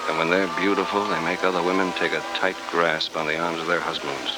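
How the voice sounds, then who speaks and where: A male actor plays a cool, hard-boiled radio adventurer with a low voice and a rapid, clipped delivery. He sounds tough and wry, on a very noisy vintage recording. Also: flat delivery, natural voice. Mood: wry narrating. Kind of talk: 1940s radio acting, scripted first-person narration. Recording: very noisy 1949 radio transcription.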